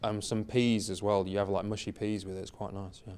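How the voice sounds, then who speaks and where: A man's voice: lowish voice, level tone, fairly quick, darkish tone. A man who teaches English, chatting casually and rambling with many restarts. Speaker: English lecturer. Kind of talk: casual chat. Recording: faint background noise.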